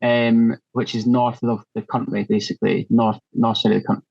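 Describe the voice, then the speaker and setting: A man's voice: mid-range voice, level tone, normal speed, gravelly, a little mellow, slightly rough, dull audio. A man from northeast Scotland with a Scottish accent, explaining things conversationally as a podcast guest and fitness trainer.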